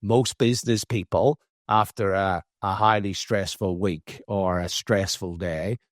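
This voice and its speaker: An Irish man with a lowish voice hosts a podcast in a relaxed, chatty way. He restarts his phrases often and swears casually. The sound is dry and close. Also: unhurried, dry recording. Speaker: podcast host. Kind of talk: casual interview chat.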